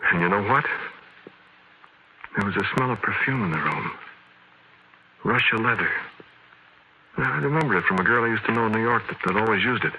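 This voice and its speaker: A haunted soul confides his ghostly encounter in a low, husky voice with a hard, forceful edge. He halts, repeats himself and insists, as in a vintage radio horror play. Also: lively intonation, exaggerated voice, low-quality recording. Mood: insistent. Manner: halting, emphatic, conversational confiding with repeated phrases. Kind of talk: old-time radio horror drama narration.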